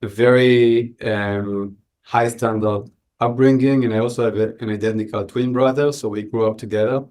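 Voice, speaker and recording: A man with an Israeli accent answering interview questions reflectively, often pausing mid-sentence. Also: mid-range voice, fairly even intonation, a little mellow. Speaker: podcast guest, entrepreneur.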